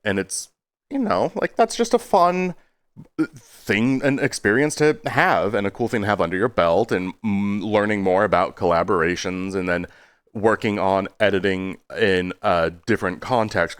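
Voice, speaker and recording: Low-voiced man rambling with big pitch swings. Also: animated, moderate pace, smooth, no room sound, studio quality. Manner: self-deprecating, stop-start rambling with swoops into exaggerated tones. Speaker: video creator giving an update. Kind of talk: rambling casual monologue.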